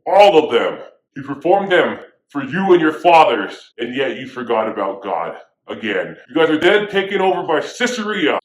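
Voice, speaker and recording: A man playing a stern prophet who scolds his people, preaching in a casual, modern way full of slang. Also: on the high side. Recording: echoey room.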